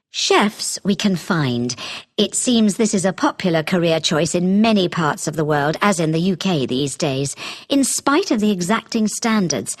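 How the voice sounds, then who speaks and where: Woman as a businesslike company recruiter, scripted talk, very lively intonation, politely persuasive. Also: medium pitch. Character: brisk, businesslike female hotel group recruiter. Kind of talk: scripted informational talk for a listening exam.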